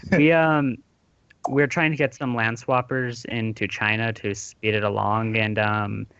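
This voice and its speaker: A young man organizing a gaming roleplay, explaining rules in a measured, hesitant way with many ums. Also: mid-range voice, flat delivery, a bit bright, clear, resonant tone, no room sound. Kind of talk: explaining game rules in voice chat. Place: online voice call. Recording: lo-fi voice chat audio.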